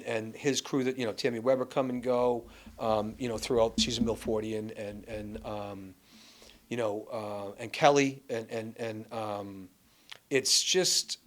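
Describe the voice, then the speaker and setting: A man from Massachusetts, a guest and former school athletics director, telling animated stories in a casual chat with many restarts. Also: medium pitch.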